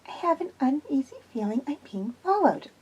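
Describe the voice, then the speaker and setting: A woman voicing a nervous, jumpy young woman who speaks haltingly. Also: sits high, sing-song, mellow, highs cut off, pitched above the performer's own voice. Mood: anxious. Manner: anxious, halting.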